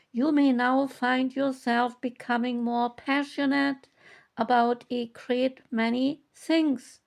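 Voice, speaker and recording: High-voiced woman reading oracle cards slowly. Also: vocal fry. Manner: slow, measured reading with long pauses. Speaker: oracle card reader. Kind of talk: reading oracle guidebook text aloud.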